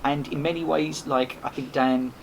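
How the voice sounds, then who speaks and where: A man telling rambling anecdotes in a casual podcast chat, animated and full of restarts. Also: fairly high pitch, moderate pace, vocal fry. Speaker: podcast host and guest. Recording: noisy background.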